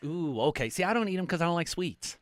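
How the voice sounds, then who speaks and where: A man's voice: on the high side, expressive, fast, dry recording, studio quality. Chatty middle-aged male radio host. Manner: chatty, jokey, self-deprecating. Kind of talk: radio interview and promo.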